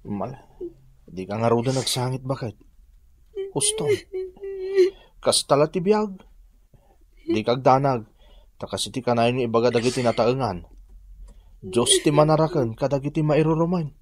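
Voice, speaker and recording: A man with an Ilocano accent playing a gentle, consoling husband in a radio drama. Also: medium pitch, sing-song, normal speed, long pauses, a little hiss or hum, lightly acted.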